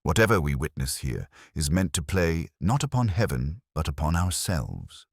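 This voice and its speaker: A man voicing a calm, shrewd police inspector who speaks gently, formally and deliberately. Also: rumbling low, vocal fry, breathy edge, no room sound, clean studio sound, heavily acted. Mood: calm, firm.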